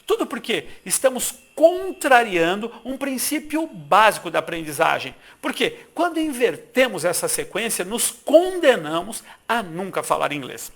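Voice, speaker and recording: A middle-aged Brazilian man narrating a persuasive sales pitch for his course, emphatic and full of rhetorical questions. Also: very animated, unhurried, few pauses, fairly bright tone. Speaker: course founder pitching an English course.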